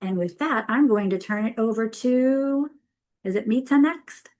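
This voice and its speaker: A woman's voice: on the high side, unhurried, darkish tone. An upbeat woman gives a webinar presentation over a video call. She talks in a loose, chatty way and fixes her own slips mid-sentence. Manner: chatty, self-correcting, upbeat.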